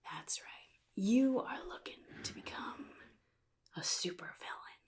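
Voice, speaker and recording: A woman voicing a chaotic, jittery male clown supervillain in soft-spoken ASMR roleplay, half-whispering and rambling in halting, sly phrases. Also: medium pitch, animated. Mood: scattered, sly. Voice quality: breathy, gravelly, half-whispered. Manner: halting, rambling, sly, addresses listener as kid.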